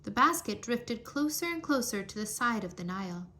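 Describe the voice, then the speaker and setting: A woman reading a children's history story aloud in an expressive, deliberate, scripted storytelling manner. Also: fairly high pitch. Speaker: storybook reader.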